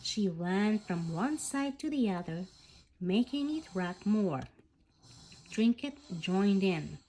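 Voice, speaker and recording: A woman reading a storybook aloud as scripted narration, in an expressive, animated way. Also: medium pitch, takes it slowly, slight background noise, poor audio. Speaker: bedtime story reader.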